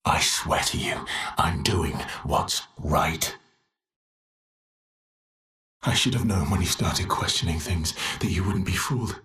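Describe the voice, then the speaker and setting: An actor playing an anguished, guilt-ridden man in a dramatic video game, halting and breathy, often sinking to a whisper. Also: deep voice, a bit bright, reverberant. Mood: torn, resolute. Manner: halting, intense, confessional. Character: anguished, guilt-ridden man desperate to save his family.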